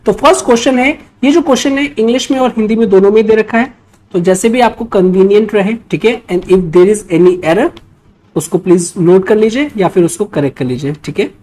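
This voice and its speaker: An Indian woman teaches history for exam students in a mellow, soft-edged voice. She reads out questions and explains them in a mix of Hindi and English, in an instructional way with frequent little check-in tags. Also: medium pitch, a little fast, low-quality recording. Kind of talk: explanatory lecture mixing Hindi and English, reading out quiz questions.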